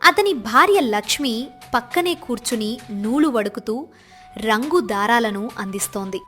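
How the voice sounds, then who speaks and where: A woman narrates a scripted moral tale in a dramatic storytelling style. Her voice sits fairly high, and light music plays behind it. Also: expressive, a little slow, fairly bright tone.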